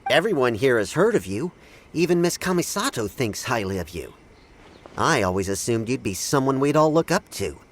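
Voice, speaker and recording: An actor playing a proud, scornful samurai who speaks in a blunt, formal, haughty way in a video game. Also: male voice, mid-range voice, big pitch swings, normal speed.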